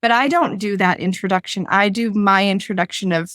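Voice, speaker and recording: A woman's voice: mid-range voice, a little fast, fairly bright tone, no echo. A laid-back, chatty woman hosting a podcast from a room at home on her own desk microphone, while she is losing her voice. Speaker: podcast host. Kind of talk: casual chat. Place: home room with blinds closed.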